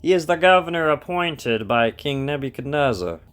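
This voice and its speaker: An actor playing a treacherous warrior prince in a Bible audio drama, smooth-talking and falsely deferential. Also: male voice, fairly high pitch, animated, moderate pace, fairly bright tone. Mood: feigned sorrow. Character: treacherous, scheming male warrior prince feigning courtesy.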